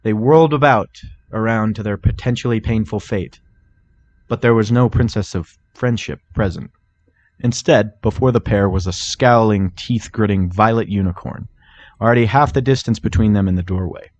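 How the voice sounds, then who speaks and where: A man's voice: fairly low pitch, moderate pace, darkish tone, dull audio. A man narrating scripted fan fiction as a dramatic storybook reading.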